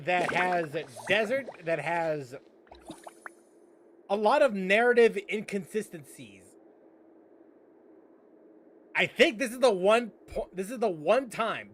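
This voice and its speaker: A man hosting a let's-play, commenting on the game in a sarcastic, incredulous way. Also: high-pitched. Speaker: gaming channel host.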